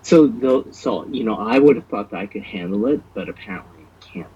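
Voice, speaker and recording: A man's voice: lowish voice, expressive, brisk, mellow, husky, lo-fi sound. An American man chatting as a podcast guest, thinking aloud in a hesitant, thoughtful way with plenty of fillers. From United States. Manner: thoughtful, hesitant, lots of fillers and agreeing murmurs. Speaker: guest, language learner. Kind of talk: casual conversational chat.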